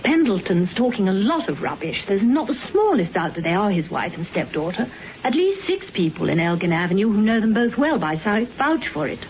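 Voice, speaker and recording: An actress playing a pert, self-assured, playfully sarcastic detective's colleague in a classic radio drama. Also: female voice, mid-range voice, very animated, fairly quick, lightly acted. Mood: matter-of-fact, dismissive. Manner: pert, arch, playfully theatrical. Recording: noisy, narrow old radio recording.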